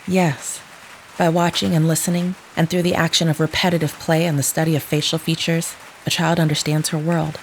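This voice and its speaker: A woman's voice: low voice, a little fast, low-quality recording. Formal, precise woman, rain behind. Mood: didactic. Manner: stilted, precise, clinical phrasing without contractions. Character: formal, literal-minded woman caring for a troubled child. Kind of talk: audio drama acting. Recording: steady rain noise behind the voice.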